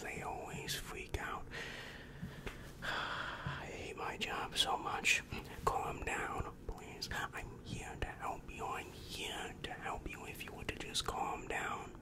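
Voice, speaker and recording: A breathy whisper, unhurried and right up on the mic, belongs to a weary demon counselor in hell, played by a young man. He reassures in a patronizing, exasperated way, repeating himself as he goes. The style is intimate ASMR roleplay. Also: lightly acted. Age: young adult. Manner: slow, repetitive, patronizing reassurance. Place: small dim room indoors. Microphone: large microphone very close to mouth.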